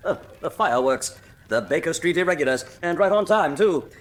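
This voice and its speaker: An actor playing a loyal, earnest English gentleman doctor in a radio drama, old-fashioned in manner, with an English accent. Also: fairly high pitch, expressive, fairly quick, close to an everyday voice. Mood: relieved.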